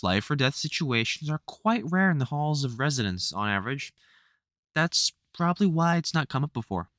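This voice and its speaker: A man playing a soft-hearted, protective vampire hunter mentor in an intimate audio roleplay, speaking fondly and hesitantly with wry exasperation. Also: mid-range voice, no echo, studio quality. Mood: explaining, reassuring. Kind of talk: intimate audio roleplay acting addressed to the listener.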